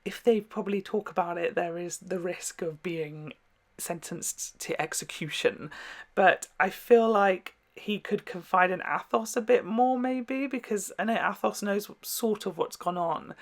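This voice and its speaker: A man's voice: high voice, fairly even intonation, measured pace, gravelly. A man giving a casual, candid TV reaction, rambling and gushing with some swearing. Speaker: reaction video host. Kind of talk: casual TV episode reaction chat.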